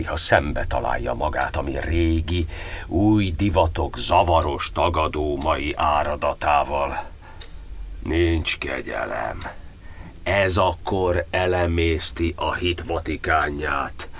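Embattled cardinal, solemn declamatory verse. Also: male voice, deep voice. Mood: bitter, anguished. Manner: declamatory, measured verse delivery. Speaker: actor. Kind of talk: dramatic verse monodrama monologue.

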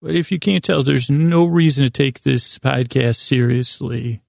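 A man hosting a bedtime podcast in a creaky, gravelly voice, rambling and halting, repeating himself and wandering into absurd asides. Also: medium pitch, fairly even intonation, a little mellow, no room sound, clean studio sound. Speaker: podcast host. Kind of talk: rambling bedtime monologue to help listeners sleep.

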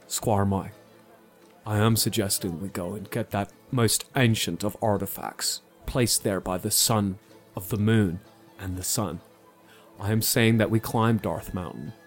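A man's voice: fairly low pitch, pitched below the performer's own voice, close to an everyday voice. A man playing a drunken, dramatic knight whose grand, pompous phrasing keeps slipping into casual slang.

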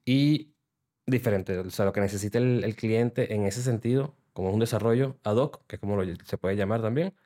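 A man's voice: lowish voice, a little fast, mellow. A man in his thirties with a Venezuelan accent, a podcast guest explaining his work at length in a studio interview. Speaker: guest, software developer.

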